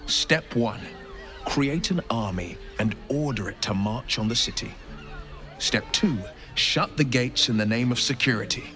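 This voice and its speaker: Grave bitter game character, medium pitch, measured n sardonic speeches, music underneath. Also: male voice, animated, bright, forceful tone. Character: grave, bitter observer condemning a tyrant's cruelty. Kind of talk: dramatic video game acting.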